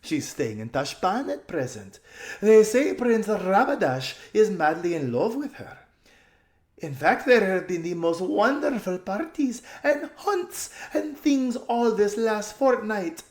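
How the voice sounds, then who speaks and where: A flighty young noblewoman played by a man in a storybook, pitched high and swooping widely. She gushes and drawls, giggly and wrapped up in herself. The tone is breathy and lazily grand. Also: very animated, slow, darkish tone, echoey room, heavily acted. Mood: chatty, gushing. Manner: gushing, drawling, calls everyone darling.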